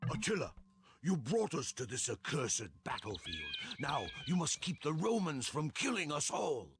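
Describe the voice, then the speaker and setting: An actor playing a fierce, battle-hungry warrior in a video game, declaiming forcefully. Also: male voice, a little high, sing-song, unhurried, music in the background. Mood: grim, urgent. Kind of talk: video game dramatic acting.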